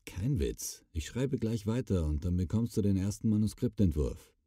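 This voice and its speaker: An actor playing a brooding, doom-laden horror writer in an audio drama, ominous and deliberate, often dropping to a whisper. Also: male voice, lowish voice, animated, warm tone. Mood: weary, matter-of-fact. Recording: music bed under the voice.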